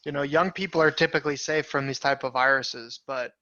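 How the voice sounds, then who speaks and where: A man's voice: on the high side, fairly even intonation, fairly quick, sharp tone, no echo. A male student in a casual video call with his class, wry and dryly joking. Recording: dry muffled video call audio.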